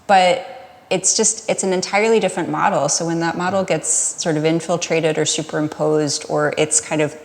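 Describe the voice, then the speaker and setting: American lady podcast guest, really low voice w lots of fry, echoey reverby recording, chatty and keeps rephrasing herself. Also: fairly even intonation, fairly quick. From United States. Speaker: podcast guest, Pilates studio owner. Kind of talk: casual interview conversation.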